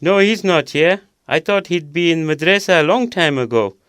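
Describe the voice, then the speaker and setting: An actor playing a calm, reassuring father on a call in an audio drama. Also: on the high side, moderate pace, clean tone, no echo, studio quality.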